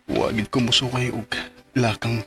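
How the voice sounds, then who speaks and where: An actor playing a withdrawn young man who cleans a church, speaking haltingly. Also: mid-range voice, flat delivery, pushed and bright, soft background music. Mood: brooding. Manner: hesitant, halting. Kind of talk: radio drama acting.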